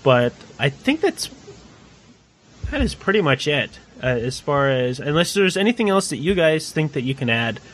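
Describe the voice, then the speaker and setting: Lively higher-voiced man hosting a chat. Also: normal speed, slight background noise. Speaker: host, moderator. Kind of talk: casual chat.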